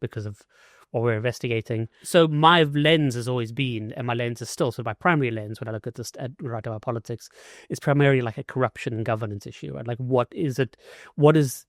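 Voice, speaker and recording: A man's voice: medium pitch, fast, no echo. South african guy, investigative author, chatty with lots of likes and you knows, mid voice, dry sound. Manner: casual, frequent "like" and "you know" fillers, restarts. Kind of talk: interview answers.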